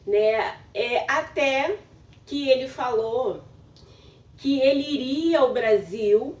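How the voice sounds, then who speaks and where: A woman's voice: high voice, expressive, takes it slowly, a little mellow. A woman from Brazil telling her story casually to camera, often laughing and explaining things for her husband. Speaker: vlogger.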